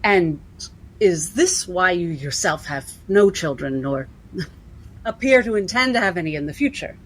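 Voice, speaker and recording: Husky, probing woman acting as a female interrogator. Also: fairly low pitch, expressive. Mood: cool, probing. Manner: measured, formal, pointed questioning. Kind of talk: cinematic period drama acting.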